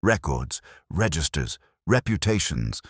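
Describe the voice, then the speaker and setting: A man voicing a mild, shrewd, unhurried police inspector in an understated, musing way for a mystery story. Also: rumbling low, sing-song, husky, dry recording, studio quality, exaggerated voice. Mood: dry musing.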